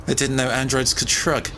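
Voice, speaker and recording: A man's voice: mid-range voice, fairly bright tone, a little hiss or hum. An actor playing a mild-mannered, slightly awkward tech guy in a science fiction audio drama, chatty but hesitant. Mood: curious, amused.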